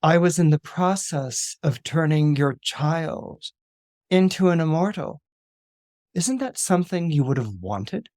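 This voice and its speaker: A storyteller playing an imperious, wrathful goddess who pronounces things slowly and coldly in a commanding way. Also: medium pitch, animated, a little mellow, dry recording, studio quality, lightly acted. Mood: stern.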